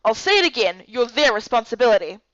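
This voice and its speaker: Woman acting stern, commanding woman leader, higher-pitched. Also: very animated, fast talker, no room sound, muffled recording. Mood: commanding, impatient. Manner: authoritative, sharp, emphatic. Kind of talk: visual novel character voice-over.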